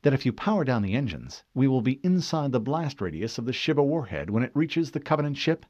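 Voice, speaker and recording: A man voicing a brisk, cool-headed female artificial intelligence aboard a warship in an audiobook, with clipped, matter-of-fact delivery. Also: mid-range voice, very animated, darkish tone, no echo, lo-fi sound. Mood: wry.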